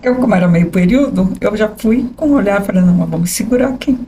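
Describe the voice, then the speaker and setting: A woman in her sixties with a São Paulo accent, a journalist telling her life story as a podcast guest, animated and often asking "né?". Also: mid-range voice, fast talker, a little hiss or hum. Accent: São Paulo capital Portuguese. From São Paulo state. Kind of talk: conversational interview storytelling.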